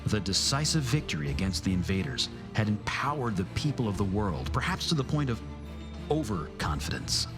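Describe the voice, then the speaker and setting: An actor playing a grave, battle-weary narrator of an alien war in a motion comic, solemn, measured and deliberate. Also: male voice, lowish voice, sing-song. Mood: intense. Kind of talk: dramatic scripted narration. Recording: music behind the voice.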